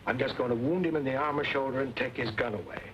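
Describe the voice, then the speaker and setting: An actor playing a stern, proud middle-aged army colonel with a formal, authoritative manner in an old western. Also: male voice, medium pitch, fast talker, lightly acted. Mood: confident. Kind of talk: old-time western film acting. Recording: noisy, muffled vintage western soundtrack.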